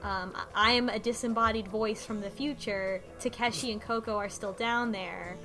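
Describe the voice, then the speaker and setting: A woman's voice: medium pitch, steady tone, normal speed. A woman co-hosting a podcast, casually recapping a game and voicing characters' lines in passing. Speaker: podcast co-host. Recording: music playing behind the talk.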